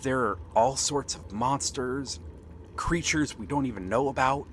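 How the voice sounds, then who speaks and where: A man's voice: mid-range voice, a little slow, a bit bright, slight background noise, natural voice. A man playing a nervous, bookish young pirate prince in an ASMR roleplay, hesitant and earnest. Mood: wistful, earnest.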